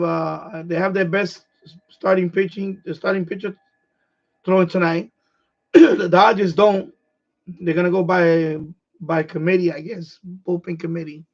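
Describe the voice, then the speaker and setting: A middle-aged man from New York with a New York accent, hoarse, chatting casually on his livestream and restarting his phrases as an eager sports fan. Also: high-pitched, steady tone, normal speed, pauses often. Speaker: livestream host. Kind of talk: casual rambling chat. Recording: dull lo-fi stream audio.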